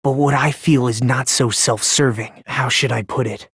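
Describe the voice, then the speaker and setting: A frail, unhinged teenage boy from a video game, with a breathy, husky voice in a dry recording. He is polite and self-deprecating, speaking with theatrical fervor about his obsession with hope. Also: medium pitch, fairly even intonation, normal speed, dry recording. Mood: soft, earnest. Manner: polite, theatrical, swinging from gentle to fervent. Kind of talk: English video game voice acting.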